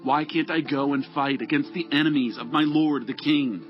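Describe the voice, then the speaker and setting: An actor playing an earnest, loyal warrior leader in a dramatized scripture production. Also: male voice, fairly high pitch, fairly even intonation, normal speed, lightly acted. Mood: aggrieved, pleading. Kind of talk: dramatized scripture audio acting. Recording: dull lo-fi audio, faint music.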